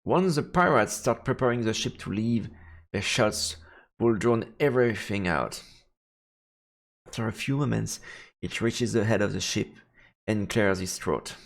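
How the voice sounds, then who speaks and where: Low, gravelly man reading aloud. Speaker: let's play streamer. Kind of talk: reading visual novel narration aloud. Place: indoors, streaming setup. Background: faint game music.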